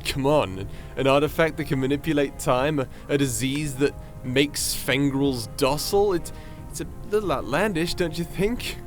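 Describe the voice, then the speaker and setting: A man playing a friendly, excitable god in an audio roleplay, chatty and casual, easily flustered into stammering. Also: on the high side, moderate pace, few pauses, soft background music, close to an everyday voice.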